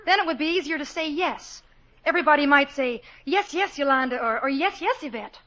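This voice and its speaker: An actress playing a spirited young woman fed up with being told no, talking in a quick, pouty, playful way, in 1940s radio acting. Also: high-pitched, fairly bright tone. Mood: restless. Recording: dull lo-fi 1940s radio broadcast with music.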